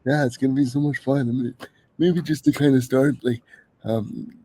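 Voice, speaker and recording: A man hosts a casual podcast while slightly sick, his voice a little worn. His tone is very dark and mellow and his pitch stays quite level. He talks earnestly and eagerly, stumbling through restarts. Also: fairly even intonation, normal speed, breathy edge. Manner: earnest, enthusiastic, many fillers and restarts. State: a little sick, voice under the weather. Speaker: podcast host.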